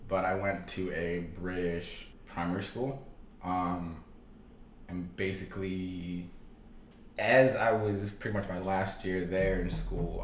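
Young man, from Tanzania, deep low voice, casual talk, light background noise. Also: echoey room. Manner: casual, filler-heavy, swears and laughs easily. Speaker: podcast guest. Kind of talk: casual interview.

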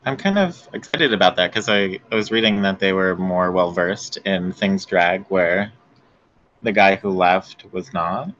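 A man's voice: low-pitched, unhurried, fairly bright tone, dull audio. A man who is a guest on a casual podcast, easygoing, laughing often and reacting in short bits.

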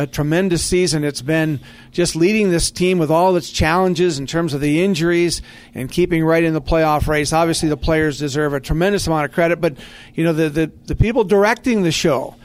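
A man hosting a sports podcast in a conversational radio style, speaking earnestly and with complimentary phrasing. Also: on the high side.

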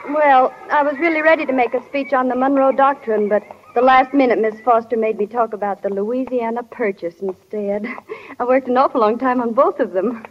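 A woman's voice: fairly high pitch, fairly even intonation, moderate pace, natural voice. An actress playing an earnest, self-conscious teenage small-town schoolgirl in a 1940s radio drama. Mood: shy, polite. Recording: dull, reverberant 1940s radio recording.

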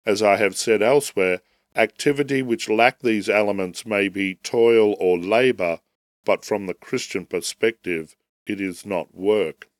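A man with a head cold and a raspy, nasal voice, hosting a podcast and reading a prepared theology essay in a measured, formal way. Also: on the low side, no room sound, clean studio sound. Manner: measured, formal, deliberate delivery. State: tail end of a head cold. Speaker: podcast host.

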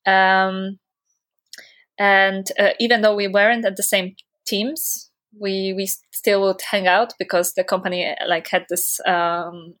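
A woman's voice: mid-range voice, level tone, a little slow, fairly bright tone. A woman in her thirties co-hosting a casual podcast, talking hesitantly with many restarts and laughing along. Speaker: podcast co-host.

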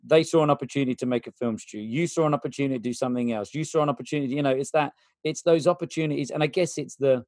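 A podcast host poses long, winding questions in a casual chat, padding them with fillers. His voice is mid-pitched and the sound is dry and close. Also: rapid-fire, a little mellow, no room sound.

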